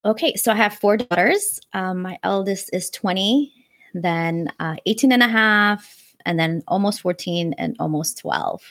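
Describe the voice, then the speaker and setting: A woman's voice: mid-range voice, measured pace, fairly bright tone, no room sound. A woman in her forties, born in Afghanistan and raised in New York, telling her story as a podcast guest in an earnest, reflective way. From born in Afghanistan, raised in New York City. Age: 40s. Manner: earnest and reflective, religious phrases, frequent fillers. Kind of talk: personal storytelling in conversation.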